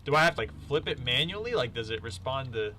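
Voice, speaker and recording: A man's voice: medium pitch, lively intonation, quick pace, bright, forceful tone, a little hiss or hum. A man hosts a casual gaming session with a voice that moves up and down a lot. His talk is slangy and loose, with swearing mixed into the banter. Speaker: gaming show host, player. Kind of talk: casual chat while playing a card game.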